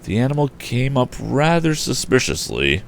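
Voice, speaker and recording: A man reading an adventure story aloud in an expressive storyteller's style. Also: medium pitch, moderate pace, gravelly. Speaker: storyteller, livestream host.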